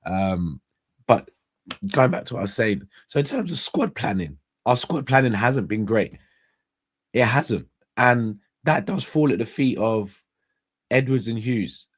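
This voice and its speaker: A young man hosting a casual live-stream chat, hoarse and congested, talking straight to his viewers and often restarting his phrases. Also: mid-range voice, animated, measured pace. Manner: chatty, addresses viewers directly, restarts phrases. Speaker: host.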